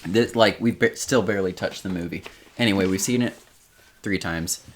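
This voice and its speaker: A man's voice: sits low, normal speed. Rambling young man co-hosting a podcast. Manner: rambling, animated, laughs often. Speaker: podcast co-host. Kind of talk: casual chat.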